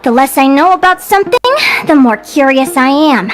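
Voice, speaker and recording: A woman voicing a bright, curious, earnest bird fae girl in a video game, speaking articulately like a storyteller. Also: sits high, animated, normal speed, soft background music. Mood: wistful, curious. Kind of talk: scripted video game voice acting.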